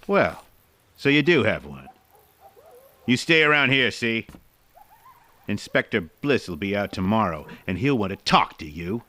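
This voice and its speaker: Man acting a blustering, forceful small-town cop. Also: lowish voice, big pitch swings, unhurried, long pauses, full character voice. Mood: bullying, impatient. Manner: accusatory, curt, bullying. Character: burly, blustering, suspicious small-town police constable. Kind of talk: old-time detective radio drama acting.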